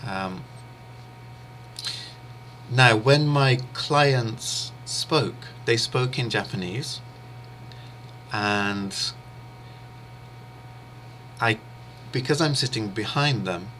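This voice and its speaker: A man whose first language is English, teaching an interpreting course in a steady, explanatory way with pauses and fillers. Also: medium pitch, a little hiss or hum. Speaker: interpreting instructor. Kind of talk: explanatory online lecture.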